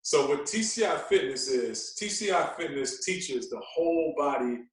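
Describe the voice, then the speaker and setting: A man talking as a podcast guest, explaining things in an earnest, deliberate, conversational way with emphatic repetition. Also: on the high side, lively intonation. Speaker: podcast guest, radio DJ and fitness coach. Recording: roomy echo, distant from mic.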